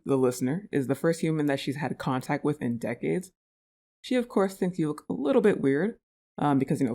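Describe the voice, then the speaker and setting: A woman's voice: extremely low pitch, mellow. Woman chatting casually to her listeners. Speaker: audio roleplay creator and performer. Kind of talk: casual outro chat to listeners.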